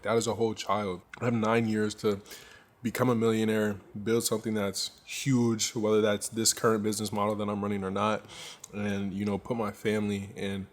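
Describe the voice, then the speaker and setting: A young man of about twenty narrates his workday for his viewers. His voice sits low and stays fairly even in pitch, and his delivery is relaxed and candid. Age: 20s. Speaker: clothing brand owner. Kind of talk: casual vlog narration.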